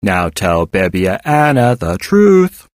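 Man putting on a slow, malicious robot woman. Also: low voice, very animated, no room sound, clean studio sound, heavily acted. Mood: mock sincere. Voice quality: hard, robotic, artificial. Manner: mock-sweet, slow and drawn-out. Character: malicious, taunting female robotic voice of a machine hacker. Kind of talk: audiobook character voice.

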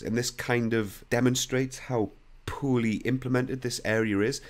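A young man with a croaky voice, bluntly talking through a CAD software screen recording. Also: lowish voice. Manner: blunt, opinionated, conversational. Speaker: CAD software tutorial host.

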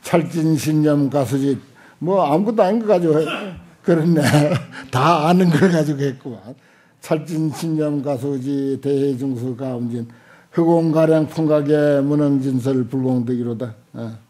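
An elderly monk, a man, teaches scripture in a soft, mellow voice pitched a little high. He speaks in short halting phrases into a desk microphone and repeats key points with emphasis. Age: older, 70s or above. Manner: unhurried, halting with frequent interjections, emphatic repetition. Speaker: Buddhist monk, lecturer. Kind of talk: Buddhist scripture lecture. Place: indoor lecture hall with seated audience. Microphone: desk stand microphones.

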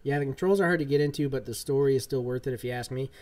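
A man's voice: mid-range voice, very fast, a little mellow. Laid-back man chatting on a game stream. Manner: laid-back, rambling, many fillers. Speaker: livestream gamer. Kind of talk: casual livestream chat while playing. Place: home gaming room.

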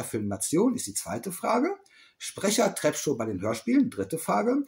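A middle-aged man talks close to a webcam in a small room, rambling and restarting as he reads out quiz questions. His voice sits a little high for a man and swings widely up and down. He teases and backtracks often. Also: gravelly. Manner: rambling, self-correcting, teasing. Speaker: toy collector vlogger. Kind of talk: casual quiz vlog.

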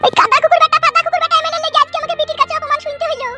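A performer voicing a shrill, foul-mouthed village wife and mother in a comedy cartoon, with a rural West Bengal accent and a pitched-up voice. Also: fairly even intonation, racing, bright, forceful tone, faint music behind, exaggerated voice. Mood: vengeful, boastful.

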